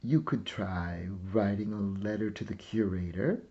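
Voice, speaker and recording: A man's voice: lowish voice, a little slow, vocal fry, warm tone, dull audio. A man voicing a kindly, playful aunt in a storybook. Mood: encouraging. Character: kindly, playful aunt who encourages her niece.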